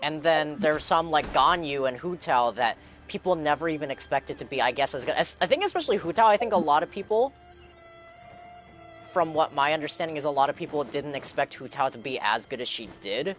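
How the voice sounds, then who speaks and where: A man's voice: fairly high pitch, lively intonation, fairly quick, fairly bright tone. A young man giving casual live commentary while streaming a game and chatting with viewers. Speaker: game livestreamer. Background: video game music.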